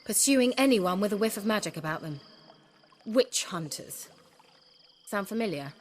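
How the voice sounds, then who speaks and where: An actress playing a haughty, sardonic sorceress in a video game, speaking in an arch and condescending way. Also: female voice, medium pitch, lively intonation, moderate pace, close to an everyday voice. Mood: bitter, urgent. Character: haughty, sardonic sorceress in hiding as a village witch. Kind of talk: dramatic video game dialogue.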